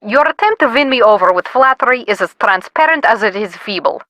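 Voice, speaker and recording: An actress playing a brusque, self-important academic expert in a video game, speaking in an imperious, clipped way. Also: female voice, on the high side, animated, a little fast, sharp tone, no echo. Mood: haughty. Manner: imperious, clipped, impatient lecturing. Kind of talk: scripted video game voice acting.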